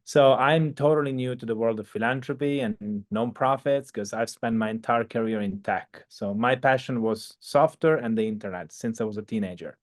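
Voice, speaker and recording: A man, co-director of a nonprofit, explaining his work in a relaxed conversation. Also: mid-range voice, moderate pace, few pauses, no echo, studio quality. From Italy. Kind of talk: casual video call conversation.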